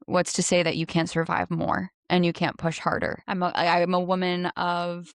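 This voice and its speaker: Lower-voiced American woman as podcast guest. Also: completely flat delivery, normal speed, gravelly, no room sound. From United States. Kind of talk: conversational interview answers.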